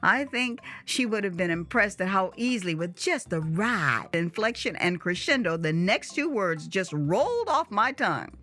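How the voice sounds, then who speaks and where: A woman reading her own memoir as dramatized storytelling, with a theatrical, expressive and emphatic delivery. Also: mid-range voice, moderate pace, few pauses. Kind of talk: dramatized first-person storytelling.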